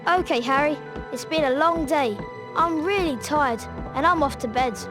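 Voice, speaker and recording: High, boyish schoolboy sidekick voiced by a woman. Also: normal speed, over music, lightly acted. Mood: tired. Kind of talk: video game cutscene voice acting.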